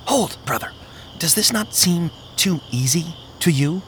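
A man doing a high, jittery timid robber. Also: animated, measured pace, fairly bright tone, slight background noise. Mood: suspicious. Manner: fretful, questioning. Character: timid nervous male robber brother.

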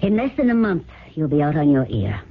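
A woman's voice: low-pitched, very animated, fairly quick, breathy edge, heavily acted. A woman playing a cold, persuasive scheming girlfriend in a 1970s radio drama, insistent and matter-of-fact. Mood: coolly persuasive. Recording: lo-fi phone-like old radio recording.

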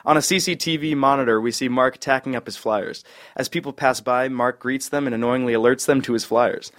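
Guy reading scene directions off a script, normal mid pitch, table read. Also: a little fast, fairly bright tone. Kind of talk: scripted narration of screenplay directions.

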